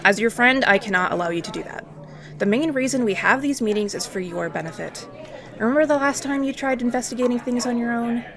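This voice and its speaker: A woman's voice: a little high, lively intonation, a little fast, a crowd in the background. A woman playing a firm, protective newspaper reporter in an audio drama, questioning directly. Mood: stern. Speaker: reporter character.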